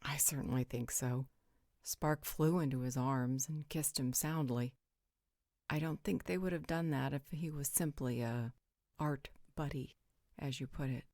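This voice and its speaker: A woman playing a calm, gently teasing female vampire in a roleplay audio drama. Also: a bass voice, a little slow, dry recording, studio quality. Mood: calm, reassuring. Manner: composed, softly teasing.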